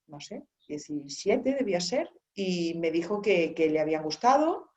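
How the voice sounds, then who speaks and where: A woman guest in an interview, telling a long story in a relaxed, rambling way with many restarts. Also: fairly low pitch, moderate pace. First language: Spanish. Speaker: author and guest. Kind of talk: conversational interview. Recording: dull lo-fi sound.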